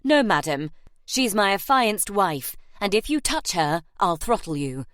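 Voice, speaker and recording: A woman voicing a stern, protective young nobleman who speaks in low, clipped, snarling tones. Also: big pitch swings, a little slow, a bit bright, dry recording, clean studio sound. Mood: threatening.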